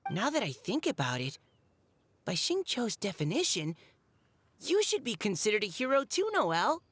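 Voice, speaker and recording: A woman's voice: mid-range voice, wildly swooping pitch, normal speed, fairly bright tone, breathy edge, no echo, full character voice. A woman voicing a modest, earnest teenage boy soldier in a video game, sincere, polite and a little hesitant.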